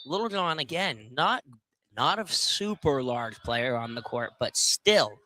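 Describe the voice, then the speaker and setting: A man with a medium-pitched voice offers color commentary on live basketball. He speaks casually and analytically, in a halting way, breaking off and picking his thoughts back up. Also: very animated, pushed and bright. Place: courtside at a college basketball game.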